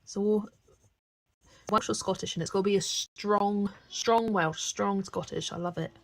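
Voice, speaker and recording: A woman's voice: medium pitch, fairly even intonation, measured pace, poor audio. A young English woman from the West Country with a mild accent, chatting casually while hosting a live stream and laughing often. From West Country, England. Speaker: live-stream host colouring.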